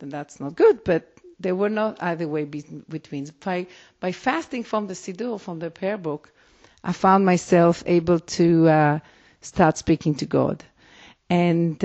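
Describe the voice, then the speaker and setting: A woman's voice: lowish voice, mellow. Reflective Israeli woman rabbi, lo-fi. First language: Hebrew. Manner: reflective, with frequent restarts. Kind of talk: interview answers. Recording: dull lo-fi recording.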